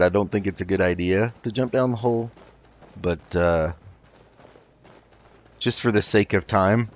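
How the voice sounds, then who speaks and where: A man doing casual game commentary, thinking aloud in an unhurried, musing way as he roleplays. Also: low voice, lo-fi sound. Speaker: gaming host.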